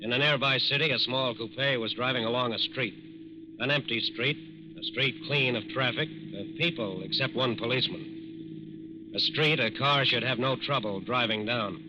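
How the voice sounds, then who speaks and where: A man narrating a 1940s radio crime drama in a deliberate, dramatic manner. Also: medium pitch, dull audio, background noise. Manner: deliberate, dramatic repeated phrases. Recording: band-limited 1940s radio recording. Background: dramatic music.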